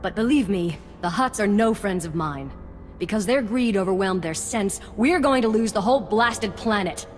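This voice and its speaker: A woman's voice: mid-range voice, lively intonation, normal speed, natural voice. A woman playing a defiant, exasperated mining executive, emphatic and urgent, in a video game. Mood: desperate, exasperated. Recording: reverberant hall, faint music behind.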